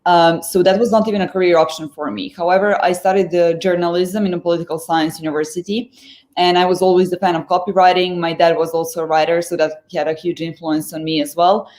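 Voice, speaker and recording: A young woman from Serbia talks with a clear Serbian accent in a slightly low voice. She is a guest on a live stream over a video call, speaking fluently but filling pauses and restarting her phrases. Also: little pitch movement, a little mellow. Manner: fluent, many fillers and restarts.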